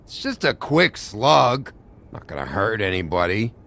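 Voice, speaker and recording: A gravelly, bitter drunk barfly. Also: male voice, fairly low pitch, big pitch swings, measured pace, fairly bright tone, heavily acted. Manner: belligerent, sarcastic, swearing drawl. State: intoxicated on painkillers. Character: bitter, drunk, foul-mouthed monster barfly in human guise. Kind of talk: dramatic video game acting.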